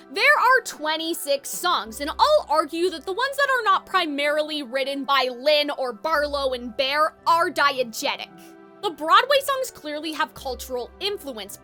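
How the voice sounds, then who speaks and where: A woman's voice: sits high, bright, forceful tone. A woman giving opinionated, conversational video essay commentary as the host. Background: background music.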